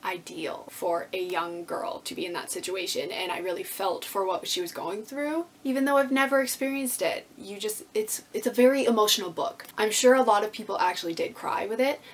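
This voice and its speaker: A woman in her twenties chatting casually to camera as a book vlogger, with an animated, emphatic, slangy way of talking and a husky voice. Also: medium pitch, fairly quick, few pauses, slight background noise. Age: 20s. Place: small indoor room with bookshelves.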